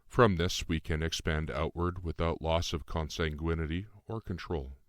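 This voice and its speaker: Audiobook role, male reader: composed otherworldly intellect, low-pitched, formal and exacting, dry close sound. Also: fairly even intonation, moderate pace, no echo. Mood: coolly insistent. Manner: formal, precise, composed, didactic. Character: Lucien, calm calculating otherworldly being in young man's form. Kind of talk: audiobook dialogue.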